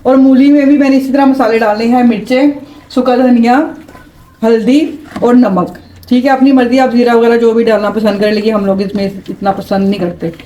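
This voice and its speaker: Pakistani woman, high bright pitch, chatty home-cook vlogger, recorded far off in an echoey room with faint noise. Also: fairly even intonation, brisk. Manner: chatty, explains each step to viewers. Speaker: home cook, vlog regular. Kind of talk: casual cooking demonstration. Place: home kitchen. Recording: roomy, from a distance, faint background hum.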